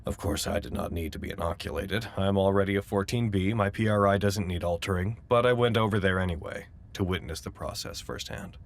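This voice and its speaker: Low-voiced man, a self-important documentarian. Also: a little fast, gravelly, hardly any pauses. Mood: smug, measured. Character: fussy, self-important male documentarian keeping an audio log. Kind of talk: first-person audio drama log narration.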